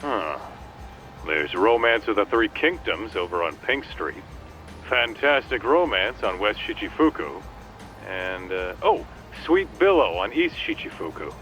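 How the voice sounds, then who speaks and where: A bossy american sidekick in a game, lowish lively voice, blunt street talk. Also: male voice, measured pace, faint music behind, poor audio. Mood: thoughtful. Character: brash, bossy male detective's sidekick. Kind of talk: video game voice acting.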